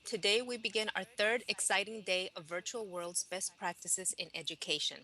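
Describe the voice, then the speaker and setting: A woman's voice: medium pitch, steady tone, normal speed, bright, forceful tone, poor audio. A woman hosting a conference, reading a formal scripted introduction of the keynote speaker. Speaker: conference host.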